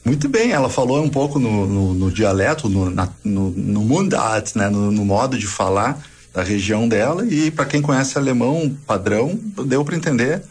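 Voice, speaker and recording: A man, a radio host speaking in a halting way and repeating words. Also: mid-range voice, lively intonation, a little mellow, husky. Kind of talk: radio interview hosting.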